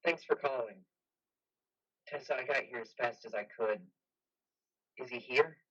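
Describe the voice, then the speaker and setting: A man playing a defensive, patronizing army major in a play reading, measured, evasive and matter-of-fact. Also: medium pitch, fairly bright tone, husky, highs cut off. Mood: concerned. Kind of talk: play reading, modern drama.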